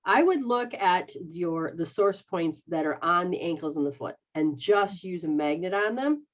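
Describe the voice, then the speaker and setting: A woman's voice: lowish voice, animated, measured pace, highs cut off. A middle-aged woman, a metaphysical practitioner, explaining healing techniques in a rambling, casual way and checking that she is understood. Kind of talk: casual instructional chat.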